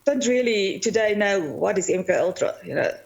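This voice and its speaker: A woman's voice: medium pitch, lively intonation, normal speed, creaky, dull audio. A woman from South Africa with a South African accent, speaking earnestly and a little hesitantly as a guest co-host. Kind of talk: remote podcast interview.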